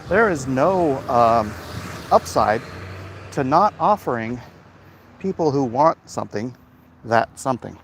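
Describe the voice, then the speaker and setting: Animated man chatting on a walk. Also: mid-range voice, slow, pauses often. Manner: animated, blunt, opinionated; frequent throat clearing. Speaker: livestream host answering viewer comments. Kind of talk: casual rambling chat while walking. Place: outdoors, walking along streets. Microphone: phone held in hand at arm's length. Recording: faint outdoor street and traffic noise.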